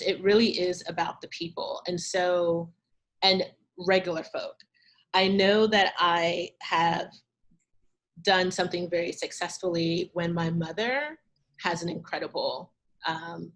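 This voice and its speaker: A woman curator answering questions in a talk, thoughtful and measured, pausing often over a video call. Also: fairly low pitch. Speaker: arts curator, guest speaker.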